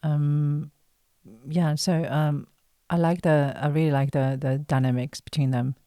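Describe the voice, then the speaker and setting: Halting, low-key female podcast guest, dry sound. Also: level tone, mellow, no room sound. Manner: halting, thoughtful, many pauses. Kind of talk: conversational interview.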